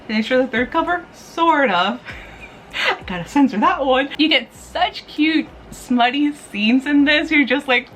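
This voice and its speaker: High-voiced woman gushing in a casual vlog. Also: lively intonation, unhurried, fairly bright tone, a little hiss or hum. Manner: gushing, giggly, playful, informal with mild swearing. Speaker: host of a book review vlog. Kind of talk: casual chatty review to camera.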